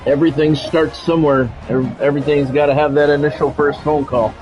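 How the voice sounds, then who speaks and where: A man's voice: fairly high pitch, little pitch movement, a little fast, darkish tone, soft background music. An American man chatting casually and doing sports commentary as a game streamer, informal and wry with a self-deprecating streak. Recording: dull, lo-fi microphone sound.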